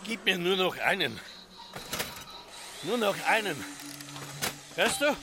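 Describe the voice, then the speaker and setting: A man's voice: high voice, very animated, slow pace, background noise, exaggerated voice. A middle-aged actor with an Austrian accent playing an obsessed, embittered farmer father in a literary radio drama, brooding and incantatory in manner. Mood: pleading, preoccupied.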